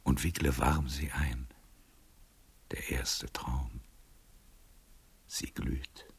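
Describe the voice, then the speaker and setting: An actor playing a frail, weary old nobleman in a poetic radio drama, tender and melancholy, with a breathy, gravelly voice and a slow, hushed, solemn delivery. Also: rumbling low, exaggerated voice. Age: older.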